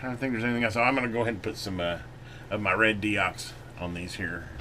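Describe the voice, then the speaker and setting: A man giving a casual hands-on repair demonstration, folksy and unhurried as he talks while working. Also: fairly low pitch, steady tone. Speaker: channel host doing electronics repair. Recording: noisy room, clearly audible background noise.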